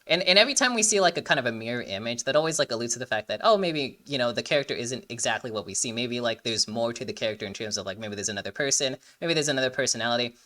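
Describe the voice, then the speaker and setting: A man's voice: medium pitch, lively intonation, rapid-fire, few pauses, bright, forceful tone. A young man giving casual, rambling, emphatic commentary as a reaction video host.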